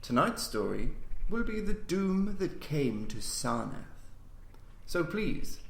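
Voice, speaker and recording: A man reading a scripted horror story as a podcast host, in a formal, measured storytelling delivery. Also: a little high, big pitch swings, gravelly. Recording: roomy, echoing voice recording.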